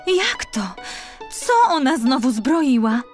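Woman playing a gentle high-voiced queen. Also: expressive, takes it slowly, faint music behind. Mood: gentle concern. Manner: gentle, worried. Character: gentle, concerned storybook queen.

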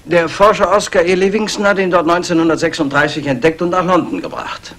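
An actor playing a diligent police assistant, precise and matter-of-fact, in a 1960s crime radio play. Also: male voice, high voice, fairly quick, a bit bright. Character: diligent male police assistant reporting findings. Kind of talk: 1960s German radio crime drama acting.

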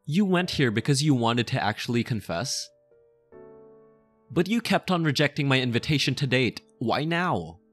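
A man playing a possessive, lovestruck mafia boss in an intimate ASMR roleplay, speaking softly and coaxingly, obsessive yet tender. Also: mid-range voice, big pitch swings, breathy edge, soft background music. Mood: pleading, lovestruck. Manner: slow, soft, coaxing, close and intimate.

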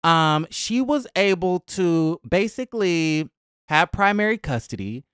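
A man's voice: high-pitched, expressive, unhurried, a bit bright, clear, resonant tone, no room sound. A young man giving casual, conversational celebrity gossip commentary in a sassy, emphatic, slangy way, close on a podcast microphone in a small room at home. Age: young adult. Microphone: close boom-arm podcast microphone.

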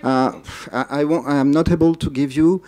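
Hesitant man with a mellow voice. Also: on the high side, steady tone, moderate pace. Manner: hesitant, many uh fillers and restarts. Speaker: conference speaker. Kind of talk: conference Q&A answers. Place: large dark conference hall.

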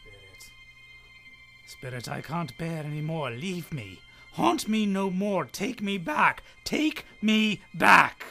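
A man plays an anguished old miser in a radio drama, pleading in slow, halting phrases. The voice is bright and strained, swinging widely in pitch. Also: expressive, vocal fry, faint music behind, heavily acted. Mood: desperate begging. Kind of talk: radio play acting.

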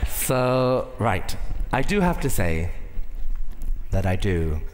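A man in his thirties performing stand-up comedy on stage, telling stories in an animated way with pauses and asides. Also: on the low side. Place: large indoor convention stage. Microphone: headset. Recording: reverberant hall, clear background noise.

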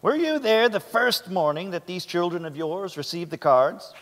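A man's voice: high-pitched, expressive, normal speed, fairly bright tone. A man playing a sceptical defence attorney, formal and pressing, in a dramatic stage reading of a trial. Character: insistent, sceptical male defence attorney cross-examining. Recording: echoey theatre stage, long reverb.

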